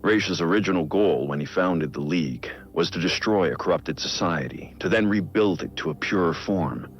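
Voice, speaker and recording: An actor playing a brooding, guilt-ridden middle-aged vigilante who records grave, measured, introspective audio logs in a video game. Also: male voice, lightly acted. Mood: analytical, somber. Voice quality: deep, gravelly. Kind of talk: scripted audio log narration, video game acting. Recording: muffled lo-fi audio log, music underneath. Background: music.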